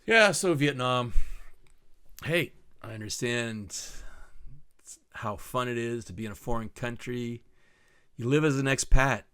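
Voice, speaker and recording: A man's voice: medium pitch, unhurried, pauses often, sharp tone, clean tone, no room sound, clean studio sound. A man in his sixties hosting his own podcast, telling casual stories in a rambling, digressive way with many restarts. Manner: rambling, digressive, self-correcting. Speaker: podcast host. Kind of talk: casual storytelling monologue.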